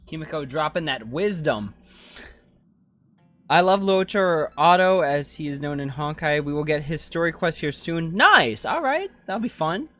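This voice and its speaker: A man's voice: a little high, lively intonation, moderate pace, pushed and bright. A man chatting casually as a game streamer on a livestream.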